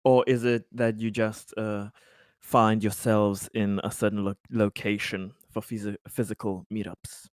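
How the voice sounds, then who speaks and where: A man playing a stern colony leader in a tabletop game, questioning a prisoner in a formal, halting, threatening manner. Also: lowish voice, level tone, no echo, clean studio sound, lightly acted. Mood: probing.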